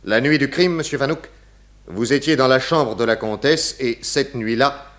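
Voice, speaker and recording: A man playing a shrewd, assured detective in a 1960s French radio play, speaking formally with deliberate enunciation. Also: medium pitch, a bit bright, close to an everyday voice. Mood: accusatory, triumphant. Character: shrewd, assured male detective laying out his case.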